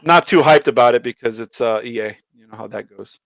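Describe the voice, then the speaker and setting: A man's voice: mid-range voice, brisk, no room sound. A man co-hosting a podcast in casual banter, talking in a slangy way and swearing freely. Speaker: podcast co-host.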